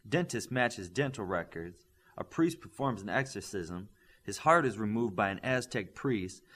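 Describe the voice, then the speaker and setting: A man narrating a superhero story as an audiobook, reading from a script with dramatic, deliberate phrasing. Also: fairly low pitch, fairly bright tone.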